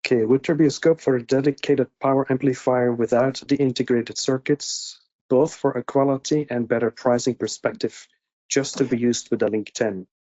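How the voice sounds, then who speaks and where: A man's voice: medium pitch, fairly even intonation, normal speed, a little mellow. A middle-aged man moderating an informal online Q&A, reading out technical questions from the audience. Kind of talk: informal webinar Q&A. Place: indoor room on a video call. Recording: muffled video-call audio.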